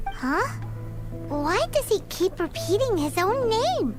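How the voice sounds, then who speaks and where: A woman voicing a tiny, blunt, nosy childlike fairy companion in an anime video game, in a squeaky voice. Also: big pitch swings, takes it slowly, heavily acted. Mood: puzzled. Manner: speaks of self in third person, squeaky. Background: game music.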